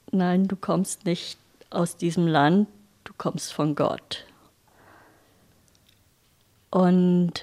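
A woman being interviewed about her spiritual life, telling a personal recollection in measured, deliberate phrases. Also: medium pitch, warm tone. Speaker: interview guest, spiritual devotee.

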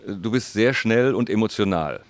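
A man interpreting an interview into German as a voice-over, speaking slowly and deliberately. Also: fairly low pitch, expressive. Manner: slow, deliberate, careful phrasing.

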